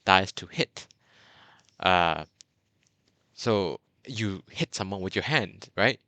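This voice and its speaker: Low-voiced bright man tutoring. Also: animated, slow, no echo. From Burma. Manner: chatty, many fillers and restarts. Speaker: language tutor. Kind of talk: casual video lesson.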